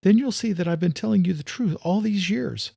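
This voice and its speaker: A woman's voice: medium pitch, brisk, vocal fry, dark tone, slightly rough, no room sound, clean studio sound, voice pushed up. A man narrating a story, voicing an anxious middle-aged mother who talks in a soothing, eager-to-please way.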